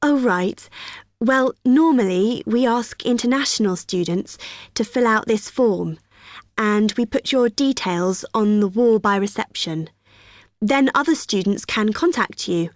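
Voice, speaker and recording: An actress playing a friendly student union receptionist who explains a form patiently in a scripted exam dialogue. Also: female voice, a little high, a little slow, dry recording, clean studio sound, close to an everyday voice. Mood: helpful. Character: cheerful young female union helper.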